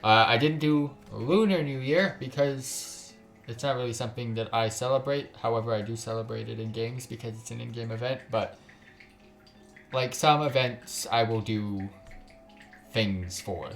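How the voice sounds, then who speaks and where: A man's voice: medium pitch, normal speed, creaky, faint music behind. A man live-streaming a game, chatting casually in a joking, sweary, slangy way and reading text aloud with stumbles.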